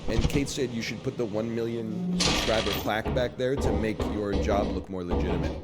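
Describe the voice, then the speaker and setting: A hoarse man in his thirties chatting casually and joking while streaming a game. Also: fairly low pitch, fairly even intonation, moderate pace, loud music behind the voice. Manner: rambling, joking asides. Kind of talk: casual chat while gaming.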